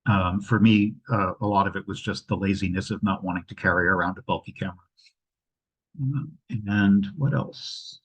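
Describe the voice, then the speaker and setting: Low-pitched man with a husky voice. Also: normal speed, a bit bright, poor audio. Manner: hesitant, thinking aloud. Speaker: travel presenter. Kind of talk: informal question-and-answer after a travel slideshow. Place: indoors at home, on a video call. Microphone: video call microphone.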